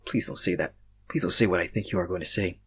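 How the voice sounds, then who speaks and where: Man voicing a husky, bewildered, panicky young stranger. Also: sits low, fast, bright, forceful tone, voice pushed down, exaggerated voice.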